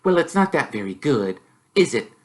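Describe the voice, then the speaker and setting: A man voicing a bossy, know-it-all young girl witch who chatters in a prim, brisk, self-assured way. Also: medium pitch, very animated, voice pushed up, lightly acted. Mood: eager, boastful.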